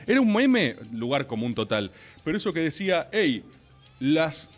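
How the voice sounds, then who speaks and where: Man, 30s, Argentine accent, fairly high voice, very lively intonation, insistent host. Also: moderate pace. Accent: Argentine Spanish. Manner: animated, insistent, repeats himself. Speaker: streaming show host. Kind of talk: casual banter.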